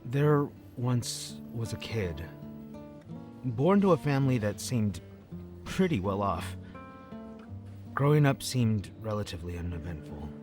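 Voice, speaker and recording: Wry, low-voiced young-hearted slacker guy. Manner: casual, slangy, hesitant. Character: wry, jokey man opening up about his troubled past. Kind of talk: acted audio drama dialogue.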